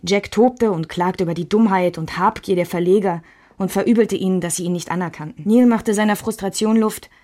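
A woman's voice: medium pitch, fairly quick, warm tone, close to an everyday voice. An actress playing a reflective woman who looks back on a troubled marriage in a literary radio play. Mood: reflective, resigned.

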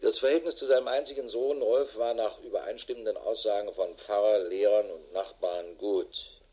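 An actor playing a harried, middle-aged police investigator in a radio drama, matter-of-fact and dry. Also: male voice, fairly low pitch, steady tone, moderate pace, slightly rough, highs cut off. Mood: sober, dictating. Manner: matter-of-fact, dry, clipped official phrasing. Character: harried, world-weary male police investigator with a wife. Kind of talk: German radio drama acting, dictated report.

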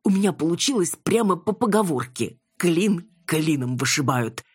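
A woman's voice: on the low side, animated, normal speed, breathy edge, no echo, clean studio sound, voice pushed up. A woman voicing a doting, boastful, effusive older father in an audiobook. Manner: effusive, self-satisfied.

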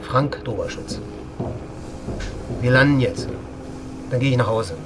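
An actor playing a brusque, sardonic army officer and pilot in a radio crime drama, with a dry, challenging way of talking. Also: male voice, medium pitch, measured pace, lightly acted. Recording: loud vehicle engine noise around the voice.

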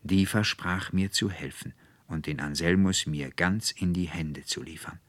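Mann spielt ernste, entschlossene junge Frau, emphatisch. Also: male voice, low-pitched, a little slow, a bit bright, dry recording. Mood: earnest confession. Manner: emphatic, formal old-fashioned declaiming. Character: earnest young woman confessing, resolute and effusive. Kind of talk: literary audiobook character dialogue.

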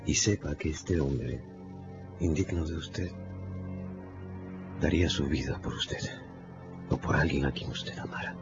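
Self-loathing gentleman in love, slow gravelly radio drama voice, halting, loud music under it, muffled audio. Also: a bass voice. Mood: anguished devotion. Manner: halting, fervent delivery. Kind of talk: Spanish-language radio drama acting.

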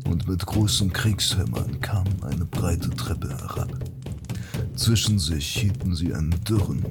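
Deep, slow male narrator. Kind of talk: scripted fantasy audio drama narration. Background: loud music.

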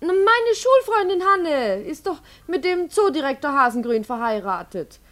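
An actress playing a guileless, obliging wife in a German radio play, speaking in an eager, placating manner. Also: female voice, sits high, sing-song, moderate pace, a bit bright. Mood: cheerful, placating.

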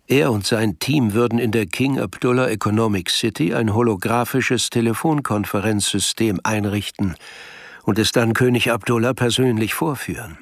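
Measured male radio play narrator. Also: medium pitch, vocal fry, dry recording, clean studio sound.

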